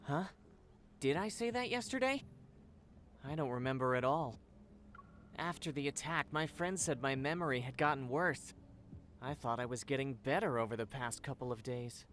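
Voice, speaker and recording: An excitable young male student from a video game, played by a man, talks with dramatic enthusiasm. His voice is mid-pitched, with faint noise behind it. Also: moderate pace, long pauses, close to an everyday voice. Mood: puzzled.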